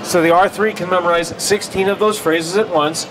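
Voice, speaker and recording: A man's voice: sits high, steady tone, normal speed, music in the background, rough recording. A middle-aged man giving a product demonstration at a trade show booth over a headset mic. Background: synthesizer sounds.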